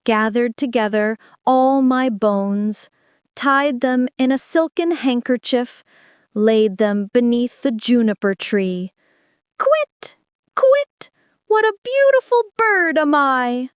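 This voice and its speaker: A woman's voice: high voice, lively intonation, slow, clear, resonant tone, dry recording, studio quality. A woman voicing a magical singing bird, chanting its song in a sing-song way. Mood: haunting chant. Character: magical singing bird, boy's spirit, eerie.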